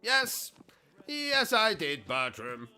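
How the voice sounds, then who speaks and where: A man's voice: high voice, wildly swooping pitch, very slow, bright, forceful tone, full character voice. A man with a British accent playing a pompous, rude, deranged posh gamer who hosts a gameplay stream and talks in a haughty, mock-grand way with rude outbursts. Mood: snooty. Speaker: gaming streamer persona. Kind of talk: comedic gameplay commentary.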